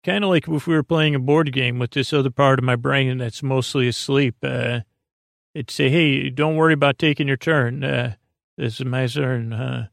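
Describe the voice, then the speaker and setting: A man hosting a bedtime podcast in a gravelly voice, rambling hesitantly and repetitively in a monologue meant to lull listeners to sleep. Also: mid-range voice, steady tone, normal speed, no echo, clean studio sound. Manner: meandering, hesitant, repetitive, with murmured asides. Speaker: podcast host.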